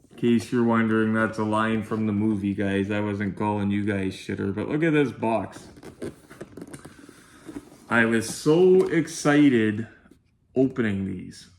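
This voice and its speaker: A man's voice: lowish voice, unhurried, slight background noise. A man with a nasal voice, chatting informally to viewers as he hosts a casual unboxing vlog. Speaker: video host and collectibles unboxer. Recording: roomy, echoey sound.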